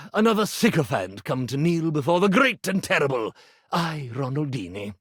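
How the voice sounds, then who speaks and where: A pompous theatrical magician for a game, super florid and melodramatic, voice goes all over the place, dry studio sound. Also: male voice, fairly high pitch, a bit bright, no room sound, heavily acted. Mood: grand, self-important. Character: grandiose, theatrical stage magician who is an animated iron. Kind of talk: video game character voice acting.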